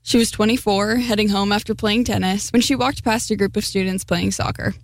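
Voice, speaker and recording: A woman reporter voices a public radio feature in a smooth, measured read. Her pitch sits at the middle and the studio sound is dry and close. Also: steady tone, dry recording. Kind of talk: scripted news feature narration.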